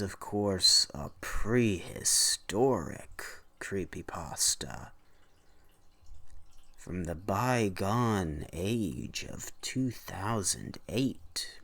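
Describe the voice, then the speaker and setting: A man with a deep, creaky voice presents horror stories. He speaks extremely slowly, in deliberate, halting phrases. The style sits between casual hosting and dramatic reading. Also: fairly bright tone. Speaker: host and narrator of horror story readings.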